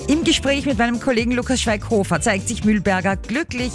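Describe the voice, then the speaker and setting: A woman from Styria with an Austrian accent, presenting a sports news report in a lively, chatty way. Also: fairly high pitch, brisk, soft background music. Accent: Austrian German.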